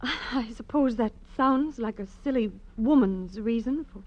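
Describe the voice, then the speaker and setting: An actress playing a sentimental, coaxing female client in a 1940s radio detective drama, speaking with soft persuasion and small hesitations. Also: a little high, a little slow, very noisy, lightly acted. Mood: coaxing, wistful. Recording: muffled vintage radio recording.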